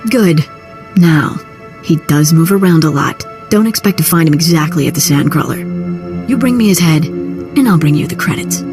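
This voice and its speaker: An actress playing a brash, sardonic, streetwise crime broker in a video game. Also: female voice, low voice, expressive, moderate pace. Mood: brisk, persuasive. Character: brash, sardonic female underworld fixer. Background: loud music.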